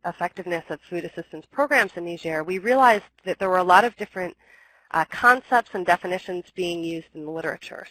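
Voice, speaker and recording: A woman's voice: fairly low pitch, fairly bright tone. A young woman with a slight cold, her voice a little scratchy, presenting a webinar talk from home over a computer mic, explaining steadily with ums and restarts.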